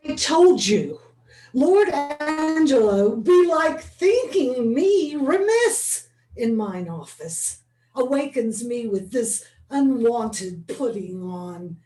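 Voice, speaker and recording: A woman playing a dutiful male prison provost in a Shakespeare scene rehearsal, speaking verse in a slow, measured way. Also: fairly high pitch, very animated, dark tone. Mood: earnest. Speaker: acting student. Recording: roomy and distant.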